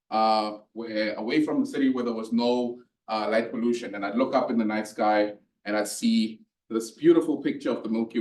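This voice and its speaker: A man from South Africa with a South African accent, whose first language is Northern Sotho, giving a relaxed physics lecture with a storytelling touch and frequent fillers. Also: medium pitch. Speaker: guest lecturer. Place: university lecture hall. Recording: echoey lecture hall from a distance.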